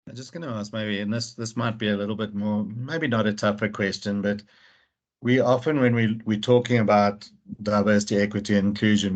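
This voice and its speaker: Flat-voiced male interviewer on dull audio. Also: fairly low pitch. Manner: hesitant, hedging questions. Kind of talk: interview questions. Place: South Africa. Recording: dull lo-fi video call audio.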